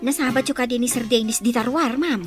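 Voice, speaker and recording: A woman's voice: on the high side, quick pace, music in the background, natural voice. An actress playing an anxious young woman who works in a household, speaking hurriedly in a radio drama.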